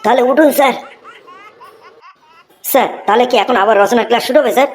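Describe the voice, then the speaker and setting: A woman's voice: fairly high pitch, quick pace, a little mellow, lightly acted. A woman voicing an earnest, cheeky Bengali schoolboy in a comedy cartoon, deferential and reciting like a pupil. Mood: alarmed, concerned. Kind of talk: comic cartoon voice acting. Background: music.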